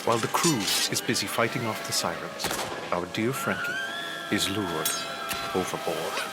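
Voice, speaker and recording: A man's voice: lowish voice, big pitch swings, moderate pace. A man playing the dramatic storyteller of a pirate audio drama, delivering scripted narration. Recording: loud music under narration.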